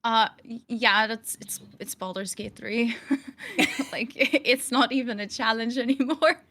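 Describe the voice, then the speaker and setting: Bright-voiced giggly woman guest. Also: a little high, fairly even intonation, measured pace. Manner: giggly, storytelling. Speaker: guest streamer. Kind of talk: casual chat.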